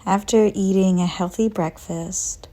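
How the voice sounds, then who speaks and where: A woman narrating a guided visualization in a soft, breathy voice that often nears a whisper, calm and gentle with long pauses. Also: medium pitch. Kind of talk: slow guided visualization, soothing scripted narration.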